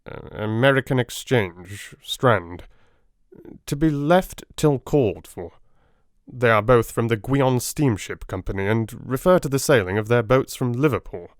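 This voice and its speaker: A man playing a stolid Victorian police inspector in an audiobook, deliberate and matter-of-fact as he reports. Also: medium pitch, animated, no echo, studio quality, close to an everyday voice. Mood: methodical.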